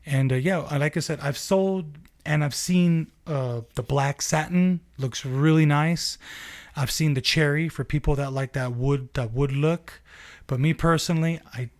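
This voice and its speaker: A man's voice: on the high side, unhurried, dry recording, studio quality. An American man giving a casual, conversational product review as an enthusiastic shop owner.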